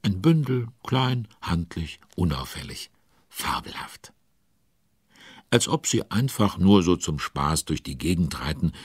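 A deep-voiced avuncular narrator of a children's radio play. His voice is husky and recorded very dry, and he tells the tale in a chatty, involved way. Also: dry recording, full character voice. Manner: chatty, involved storyteller commenting on events. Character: avuncular, concerned male storyteller of a children's tale.

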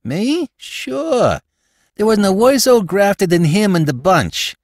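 Man doing an excitable small-time New York crook. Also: high voice, slow pace, no room sound, studio quality, pitched above the performer's own voice, full character voice. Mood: boastful. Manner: slangy, ungrammatical, excitable.

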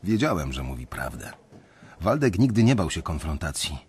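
An actor playing a weary young banker, narrating his story in a radio drama in a reflective, confiding way with a husky voice. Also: low-pitched, very animated, normal speed, darkish tone, a little hiss or hum. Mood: reflective, resigned.

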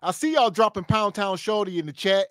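A man's voice: high-pitched, a little fast, a bit bright, no echo. A man co-hosting a podcast from a home studio, giving laid-back, drawling reaction commentary on a studio mic. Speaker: podcast co-host.

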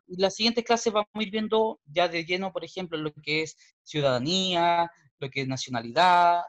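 A man's voice: high-pitched, fairly bright tone, highs cut off. A man in his forties with a Chilean accent, a history teacher explaining patiently over a video call, often restarting his sentences. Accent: Chilean Spanish. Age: 40s. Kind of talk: online class wrap-up.

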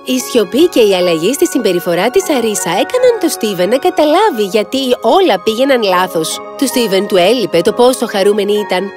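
Casting: female fairy-tale narrator, medium pitch, lively intonation, clear music bed underneath. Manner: expressive storytelling. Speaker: storyteller.

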